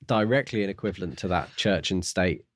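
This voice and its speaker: Deepish guy reasoning through a book on a podcast, casual, dry studio-like sound. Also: animated, a little fast, dry recording. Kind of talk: casual discussion of a novel.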